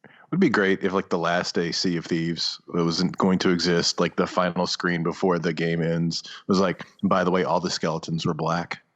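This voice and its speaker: A low-pitched man riffs casually as a co-host on a gaming podcast. His phrasing is loose and off the cuff, and the sound is a little dull and lo-fi. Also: level tone, moderate pace, a bit bright. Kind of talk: casual riffing chat about games. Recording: slightly dull, lo-fi voice recording.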